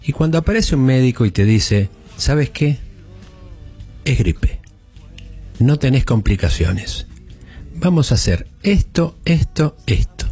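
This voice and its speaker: Middle-aged Argentine doctor, slightly husky, calm and didactic, low-key music bed. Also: male voice. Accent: Argentine Spanish. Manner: calm, didactic, explains at length. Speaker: doctor guest. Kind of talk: explanatory radio chat.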